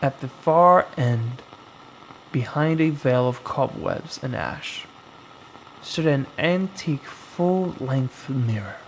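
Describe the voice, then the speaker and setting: Male horror narrator, scripted and dramatic, extremely slow with suspenseful gaps, faint noise behind the voice. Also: medium pitch, expressive, low-quality recording. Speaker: horror storyteller.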